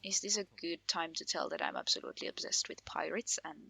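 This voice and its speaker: A woman's voice: fairly low pitch, fairly even intonation, fast talker, gravelly, pushed and bright. A woman from Finland hosting a casual Let's Play, talking in a chatty, informal way. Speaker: gaming channel host.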